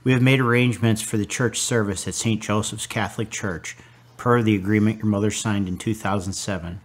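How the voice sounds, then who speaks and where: A man's voice: fairly low pitch, fairly even intonation, fairly quick, close to an everyday voice. A man playing a courteous, formal funeral director making a call in a radio drama. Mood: polite, businesslike. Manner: formal, polite. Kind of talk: amateur radio drama acting.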